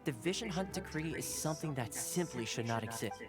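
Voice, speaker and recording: Man voicing lively, courteous young game guide. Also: fairly high pitch, fairly quick, lightly acted. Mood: earnest. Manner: polite, teasing, articulate. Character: playful, courteous young male retainer and guide. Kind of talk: video game dialogue. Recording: game music behind.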